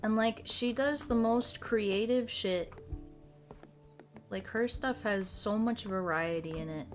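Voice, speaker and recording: American girl streamer, woman chatting slangy and loose while gaming, medium pitch, music behind her. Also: takes it slowly. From United States. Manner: playful, slangy, rambling. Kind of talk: casual livestream chat over a puzzle game.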